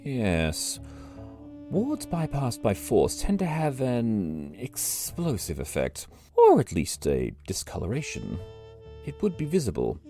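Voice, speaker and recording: A stern, measured listener in an audio drama, his voice gravelly and dark. He swings widely in pitch as he probes the story. Faint music sits behind him. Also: huge pitch swings, exaggerated voice. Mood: cool. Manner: measured, cool, probing. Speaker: listener hearing the tale.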